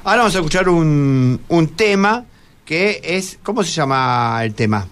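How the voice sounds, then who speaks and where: A man's voice: on the high side, animated, normal speed, a bit bright, a little hiss or hum. An Argentine man hosting a casual radio interview, conversational, often rephrasing and checking in with his guests. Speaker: radio host interviewing students. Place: radio studio.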